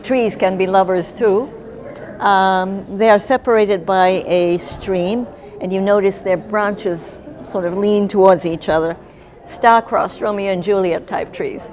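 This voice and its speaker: An older woman giving casual interview answers in a reflective, gently humorous way. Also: mid-range voice, steady tone, a little slow. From Japan. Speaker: guest, artist and writer.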